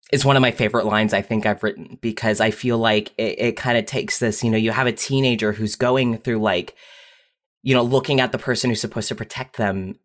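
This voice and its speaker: Candid young adult storyteller, medium-pitched voice. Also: fairly bright tone. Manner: candid, halting, frequent repeats. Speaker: podcast guest writer. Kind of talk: casual personal storytelling.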